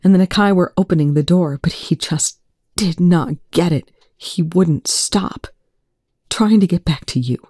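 A woman reading aloud, voicing a distraught young man who shouts and then chokes out whispers through tears. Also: on the low side, unhurried, slightly rough, dry recording, studio quality. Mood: urgent recounting.

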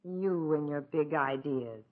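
A woman's voice: low-pitched, slow pace. An American woman playing a fretful, wry middle-aged housewife and mother in a 1950s radio sitcom. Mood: dry, sarcastic. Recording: dull vintage radio broadcast.

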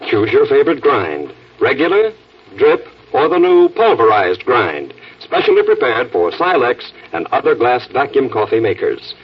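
American guy doing 1940s radio announcing, scripted coffee-ad style, wide swooping pitch, muffled old recording. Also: very animated, moderate pace, breathy edge, distant mic.

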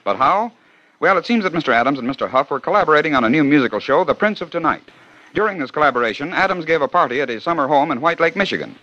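Man, scripted radio narrator, quick delivery, bright forceful tone, dull 1940 recording. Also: fairly high pitch, expressive. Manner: brisk, wry storytelling.

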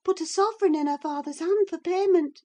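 A woman voicing a panicked, excitable young servant maid, breathless and gushing, in an audiobook. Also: very high voice, a little fast, voice pushed up. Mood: breathless gossiping. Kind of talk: audiobook character acting.

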